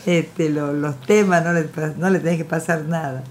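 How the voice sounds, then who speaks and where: A woman musician from Argentina with an Argentine accent, chatting in a relaxed, hesitant way during a radio interview. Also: low-pitched, a little slow, slight background noise. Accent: Argentine Rioplatense voseo. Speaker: musician and songwriter guest. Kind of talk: casual interview chat.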